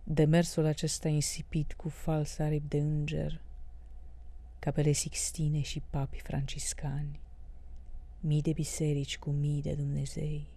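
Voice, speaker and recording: A woman's voice: deep voice, monotone, mellow. A woman giving a recitation of poetry as a reader.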